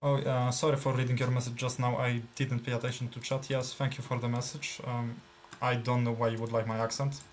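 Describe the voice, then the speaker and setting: A man with a Polish accent talks through his gameplay at a relaxed, middling pitch. He thinks aloud, trailing off with fillers and restarts. Faint background noise and keyboard typing sit under his voice. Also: a little fast, hardly any pauses. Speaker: gameplay streamer. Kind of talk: casual live gameplay commentary.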